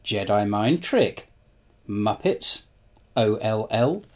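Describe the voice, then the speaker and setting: A man's voice: on the low side, animated, takes it slowly, noisy background. A man in his forties reading his own comic rhyming poems in a dry, matter-of-fact way. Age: 40s. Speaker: guest poet.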